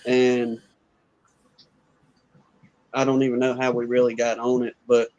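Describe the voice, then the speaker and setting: A man's voice: mid-range voice, monotone, unhurried, darkish tone. A man talking casually in a livestream chat, with a dull recording. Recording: dull, lo-fi call audio.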